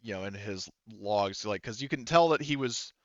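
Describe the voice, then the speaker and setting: A man running a tabletop roleplaying game as game master, explaining the scene in halting, unscripted narration. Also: on the low side, animated, dry recording, dull audio.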